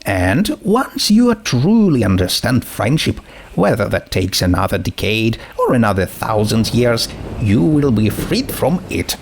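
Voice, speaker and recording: A man voicing a cool, pitiless teenage girl with a condescending, calmly menacing manner in a dramatic story reading. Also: on the low side, a little slow, a little hiss or hum. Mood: smug.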